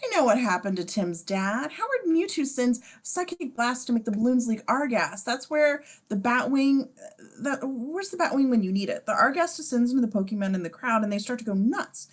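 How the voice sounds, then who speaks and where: A woman's voice: fairly high pitch, expressive, normal speed. A woman reading stage directions in an amateur table read, casual and sardonic, dropping in asides.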